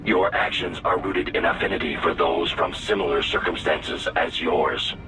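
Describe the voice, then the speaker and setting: Game AI companion: low-pitched, clinical, formal, slightly distant, music behind. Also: male voice, fairly even intonation, normal speed, bright, forceful tone. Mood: cool, analytical. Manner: stiff, formal, analytical. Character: coldly logical male artificial intelligence machine companion. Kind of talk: video game cutscene acting.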